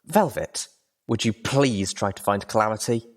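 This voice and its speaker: A man's voice: on the low side, animated, moderate pace. A man voicing a young female pony, exhausted and hurt but determined, speaking in a breathless, urgent way.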